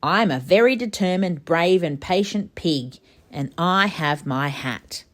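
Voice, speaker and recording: A proud little boy piglet from a children's storybook, acted out by a woman. He is determined and excitable, and his pitch leaps around in animated exclamations. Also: a little slow. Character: determined excitable little male piglet who loves hats. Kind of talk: children's storybook read-aloud.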